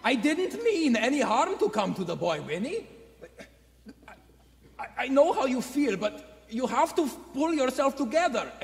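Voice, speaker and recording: High-pitched, stammering guilty husband acted by a man. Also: very animated, creaky, soft background music, full character voice. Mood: defensive pleading. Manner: halting, stammering, pleading. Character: guilty, pleading husband making nervous excuses. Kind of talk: live vintage radio play acting. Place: indoor theatre stage before live audience. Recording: echoey theatre hall, faint noise.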